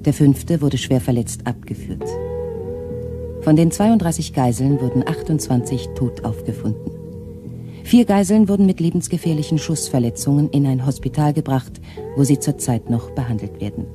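A man's voice: medium pitch, normal speed, music in the background, close to an everyday voice. A man playing a formal radio newsreader in a 1970s radio play. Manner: flat, formal reading. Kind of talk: news announcement in a radio play.

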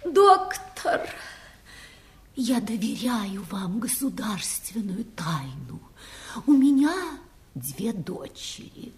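A woman's voice: medium pitch, big pitch swings, extremely slow, pauses often. An actress playing a fussy, exasperated middle-aged widowed queen in a fairy-tale audio play, theatrical and gushing. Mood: nervous, confiding. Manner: theatrical, gushing, with nervous fussiness.

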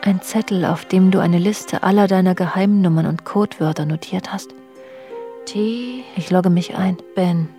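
An actress playing a brooding, suspicious middle-aged wife in an intimate radio drama, hushed and halting, often slipping into a whisper. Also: female voice, fairly low pitch, faint music behind, close to an everyday voice. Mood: quiet, searching. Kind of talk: intimate German radio drama acting, dialogue and inner monologue.

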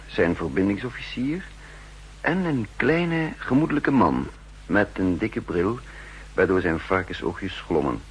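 An actor playing an older, wry and condescending spy chief who also narrates in the first person, in 1960s radio drama style. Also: male voice, fairly low pitch, slightly rough, heavily acted. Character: aging spy chief and cryptographer, wry, secretly scheming. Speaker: narrator and lead character. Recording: humming, noisy 1960s radio recording.

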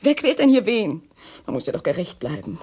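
An actress playing an agitated, unhappy wife with a secret lover, complaining intensely in a 1960s radio play. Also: female voice, on the low side, big pitch swings, fairly quick, mellow, husky. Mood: desperate, exasperated.

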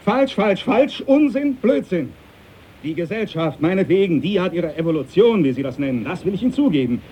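An actor playing a stubborn, pious, hot-tempered ship's captain in a radio drama, blustering and emphatic. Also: male voice, high voice, big pitch swings, moderate pace, dark tone, a little hiss or hum. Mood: indignant. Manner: blustering, emphatic, short exclamations.

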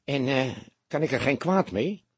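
A man's voice: medium pitch, lively intonation, measured pace, husky, no echo, voice pushed up. A man voicing a chatty small-time burglar in an audiobook, boastful yet nervous and wary, talking colloquially. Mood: hesitant. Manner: chatty, colloquial, hesitant when cornered.

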